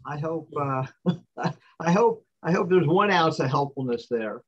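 A middle-aged man speaking from his office over a video call, relaxed, often affirming with short replies. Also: fairly high pitch, unhurried, breathy edge, highs cut off. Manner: relaxed, affirming with frequent "right". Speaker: recycling company representative. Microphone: webcam on video call.

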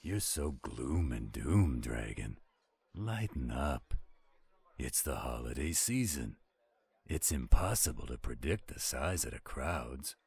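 An easygoing werewolf man voiced by a male actor in an audio roleplay. He speaks slowly in an extremely low voice. His drawl is teasing and very husky. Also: big pitch swings, darkish tone, dry recording, full character voice. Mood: calm, reassuring. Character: laid-back, teasing male werewolf friend.

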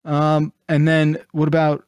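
A man's voice: a little high, completely flat delivery, a little slow, a little mellow, smooth, no room sound. Guy hosting a podcast, casual and jokey, swears a bit, close dry mic. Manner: sweary, joking. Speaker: podcast host. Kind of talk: casual joking banter. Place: indoor home studio. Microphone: close microphone with headphones.

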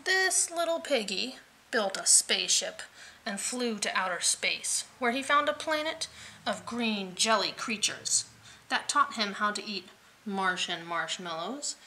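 A woman reading a children's picture book aloud in an expressive, playful way, adding vocal sound effects. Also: medium pitch, measured pace, a little hiss or hum, rough recording.

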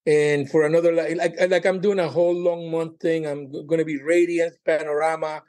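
A man in his sixties, a comedian guesting on a podcast, chatting casually in a halting, informal, joking way. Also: fairly high pitch, little pitch movement, a little mellow. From Colombia and Miami. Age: 60s. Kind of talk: casual chat.

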